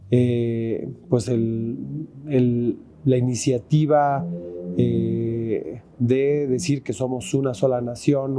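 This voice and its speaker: A man speaking in a documentary interview, his voice very dark and mellow. He talks haltingly, with frequent fillers and self-corrections, at a middle pitch. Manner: halting, many fillers and restarts. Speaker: human rights defender.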